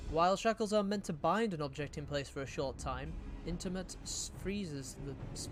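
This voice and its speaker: A British man reading the video game part of a polite, inquisitive adventurer in a formal, measured way. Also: a little high, close to an everyday voice. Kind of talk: live read of video game dialogue.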